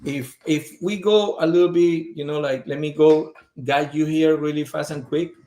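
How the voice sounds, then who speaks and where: A man's voice: fairly high pitch, fairly even intonation, moderate pace, mellow. A man, speaking casually as a guest. He talks, often searches for words and uses many fillers. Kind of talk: casual conversation. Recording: echoey room.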